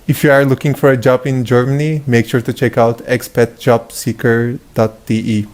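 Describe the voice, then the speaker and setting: Man, young adult, hesitant, filler-heavy, casual, mid pitch. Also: level tone, normal speed, darkish tone. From Turkey. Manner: hesitant, many fillers and restarts. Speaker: data scientist. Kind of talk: casual testimonial.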